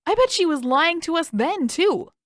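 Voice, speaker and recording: A woman's voice: extremely high pitch, animated, moderate pace, no room sound, studio quality, voice pushed up. A woman voicing an intense, suspicious teenage boy in an audiobook, emphatic and insistent. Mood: defensive, insistent. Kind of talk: acted audiobook dialogue.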